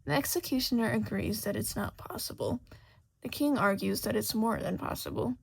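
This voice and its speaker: A woman narrating fan fiction dramatically and expressively, at times hushed. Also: medium pitch, lively intonation, normal speed, vocal fry, slightly rough, rough recording. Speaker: podfic narrator.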